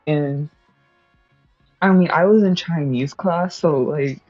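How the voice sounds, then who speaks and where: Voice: mid-range voice, takes it slowly, a little mellow, no room sound, muffled recording, faint music behind. Rambling young streamer chatting while studying. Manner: rambling, self-correcting, laughs often.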